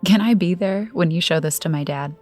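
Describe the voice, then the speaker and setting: Actress as a curious, low-voiced young woman. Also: fairly quick, darkish tone, faint music behind, lightly acted. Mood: polite, pleading. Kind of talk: audio fiction drama acting.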